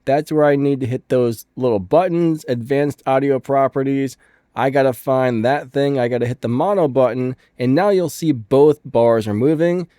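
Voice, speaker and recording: A man's voice: medium pitch, moderate pace, mellow, no echo, clean studio sound. A man hosting a casual tech tutorial, upbeat and chatty, with stuffed-up sinuses, recorded at home with a fan running. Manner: upbeat, chatty, says "ba-bam".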